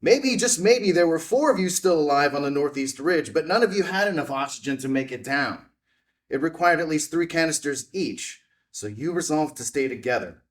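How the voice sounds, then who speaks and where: A man playing a confrontational debunking journalist in a drama table read, pointed and deliberate in his delivery. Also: a little high, animated, lightly acted. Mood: accusing, sardonic. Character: confrontational male debunking journalist, sardonic and relentless. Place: indoor room at a filmed table read.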